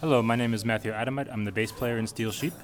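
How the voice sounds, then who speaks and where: A man, the band's bass player, answering interview questions casually with fillers. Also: steady tone, fast talker. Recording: busy crowd chatter behind.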